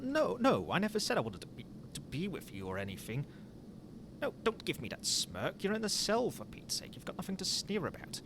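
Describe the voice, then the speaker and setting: A man voicing a smug but wistful hero captor who is secretly fond of the villain, in a theatrical, deliberate ASMR roleplay monologue. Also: a little high. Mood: flustered. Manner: theatrical, deliberate, with dramatic pauses. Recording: amateur recording with audible background noise.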